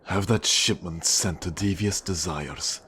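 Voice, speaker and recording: An actor playing a cold, menacing Russian mafia boss in an ASMR roleplay, with a Russian accent and a deliberate, condescending manner. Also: male voice, low-pitched, bright, forceful tone, slight background noise. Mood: curt, dismissive. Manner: slow, deliberate, controlling, condescending. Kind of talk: ASMR roleplay monologue addressed to the listener.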